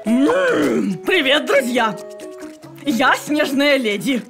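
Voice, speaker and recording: A woman voicing a grand, eager female yeti in a children's cartoon, with theatrical, drawn-out delivery. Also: high-pitched, big pitch swings, gravelly, full character voice. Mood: gracious. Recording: music behind the voice.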